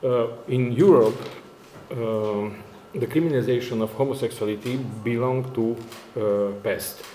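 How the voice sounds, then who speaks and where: A male academic speaks slowly in a mellow voice with a Romanian accent, presenting formally in a second language. His delivery is very halting, with frequent searching for words. The room is noticeably echoey. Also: mid-range voice. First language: not English, which is a fourth working language. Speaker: academic conference speaker, university lecturer. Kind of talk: formal conference presentation in non-native English. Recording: echoey room, faint background noise.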